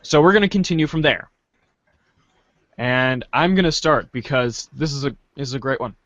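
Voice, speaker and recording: A man's voice: medium pitch, moderate pace, a bit bright, no room sound, lo-fi sound. A man hosting a podcast and narrating a read-aloud in a jovial, theatrical way.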